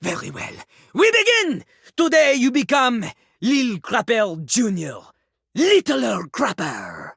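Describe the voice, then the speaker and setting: An actor voicing a pompous, sassy talking toilet who raps in a theatrical French accent, boasting haughtily in a video game. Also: male voice, very high-pitched, wildly swooping pitch, takes it slowly, gravelly, fairly bright tone, breathy edge, dry recording, studio quality, full character voice. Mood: grudging, boastful. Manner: haughty, third-person boasting, theatrical flourishes. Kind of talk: video game character voice acting, partly rapped.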